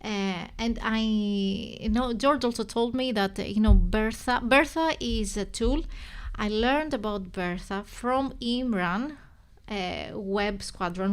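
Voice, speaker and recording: A woman's voice: mid-range voice, takes it slowly, few pauses, smooth. A woman with a Greek accent, a native Greek speaker, hosting a casual live stream and thinking aloud with many restarts and self-corrections. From Greece.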